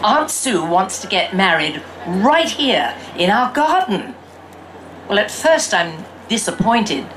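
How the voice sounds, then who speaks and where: An exuberant little girl who believes she is a fairy princess, played by a woman reading a storybook aloud. Her pitch swoops widely and she takes her time, stretching key words theatrically. There is faint noise behind the voice. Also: poor audio. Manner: expressive, theatrical, drawn-out emphasis. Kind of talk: first-person storybook read-aloud.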